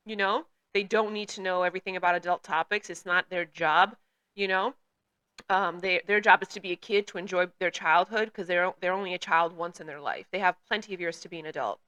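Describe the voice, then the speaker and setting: A woman's voice: on the low side, fairly quick, sharp tone. A woman whose first language is Portuguese, hosting a casual talk and reflectively telling a personal story in English. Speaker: podcast host; works with children.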